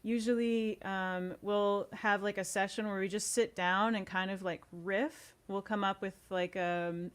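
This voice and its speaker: A woman's voice: fairly low pitch, clean tone. A woman talking casually as an interview guest, chatty and informal. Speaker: podcast guest, audio series co-creator.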